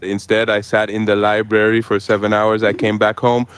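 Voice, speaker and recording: A man's voice in a machine-translated clip, with a flat, monotone delivery. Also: lowish voice, normal speed, smooth.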